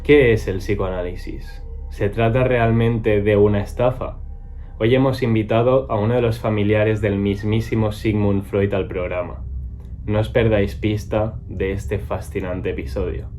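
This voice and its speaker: A man narrating a scripted show intro in a deliberate, dramatic manner. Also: on the low side, fairly even intonation, dark tone. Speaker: show host. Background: faint music.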